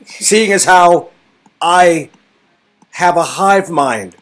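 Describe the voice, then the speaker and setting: A man's voice: high voice, drawn-out words, fairly bright tone, voice pushed up, full character voice. A man playing a flustered, pompous villain boss who declaims indignantly and draws out words. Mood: outraged, pompous.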